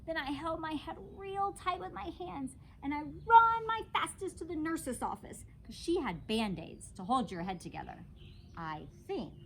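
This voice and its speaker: A woman reads a children's story aloud in a dramatic, childlike first-person style. Her voice is on the high side and very animated. There is noticeable noise in the background. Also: measured pace, vocal fry. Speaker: teacher reading aloud to children. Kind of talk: expressive children's storybook narration.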